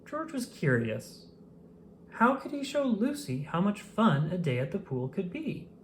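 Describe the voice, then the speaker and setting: Animated woman reading a storybook aloud. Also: medium pitch, unhurried, a little hiss or hum. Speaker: storybook reader. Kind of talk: children's read-aloud narration.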